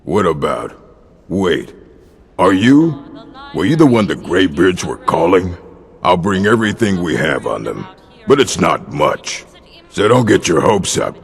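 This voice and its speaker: An actor playing a gruff older male orc librarian in a video game, dry, weary and curt, with a gravelly, husky voice. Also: deep voice, big pitch swings, unhurried, full character voice. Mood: wary. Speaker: game character. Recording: long hall reverb.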